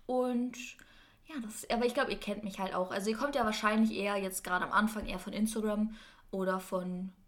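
A woman's voice: mid-range voice, steady tone, brisk. A 21-year-old woman co-hosting a podcast, chatting casually in an enthusiastic, gushing and giggly way. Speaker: podcast co-host.

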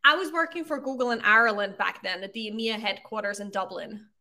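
A woman in her thirties from Austria, a native German speaker, talking through her career in a casual interview with relaxed, reflective speech. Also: fairly high pitch, brisk. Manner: relaxed, reflective, uses "like" and "you know" often. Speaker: podcast guest, agency founder.

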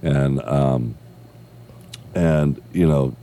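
A man's voice: extremely low pitch, extremely slow, dark tone, a little hiss or hum. A man hosting a podcast in casual chat, enthusiastic and swearing freely. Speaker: podcast host.

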